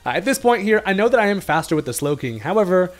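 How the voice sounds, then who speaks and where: A man's voice: high voice, big pitch swings, quick pace, soft background music. A man giving casual, joking commentary over his own game battle, his voice still a little off from a recent illness. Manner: laid-back, jokey, swears casually. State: recovering from illness, voice slightly off. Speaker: gaming commentator.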